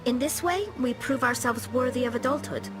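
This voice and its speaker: A woman's voice: on the high side, moderate pace, over music, lightly acted. A young actress playing an earnest alien engineer in a video game, patiently explaining her people's ways. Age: young adult.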